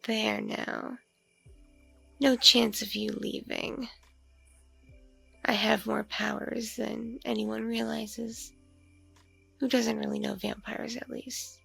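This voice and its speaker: A woman playing a seductive, commanding mature female vampire in an intimate ASMR roleplay, breathy, purring and often whispering. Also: on the high side, flat delivery, bright, forceful tone, soft background music, full character voice. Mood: hypnotic, commanding. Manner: slow, drawn-out, purring, domineering.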